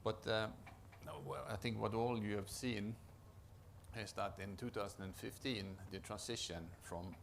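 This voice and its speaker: A man with a Norwegian accent giving a conference presentation as a project manager, often halting to restart and repeat words. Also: lowish voice, fairly even intonation, gravelly, a little hiss or hum. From Norway.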